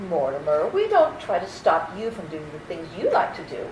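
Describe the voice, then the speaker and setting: A woman's voice: fairly low pitch, huge pitch swings, normal speed. A woman playing a prim, sweet but easily indignant old aunt, genteel and fussy, in old-time radio theater style. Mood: sweetly prim. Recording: echoey, noisy, distant stage recording.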